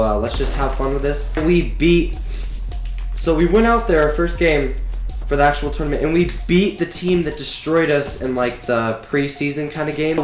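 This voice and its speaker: A teenage boy with a slightly sick-sounding voice chats to his webcam in a rambling, loose way, full of fillers and restarts. The room around him is echoey, and faint music sits underneath. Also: a little high. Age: teens. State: sick, voice a bit off. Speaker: gaming YouTuber. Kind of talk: casual storytelling to camera.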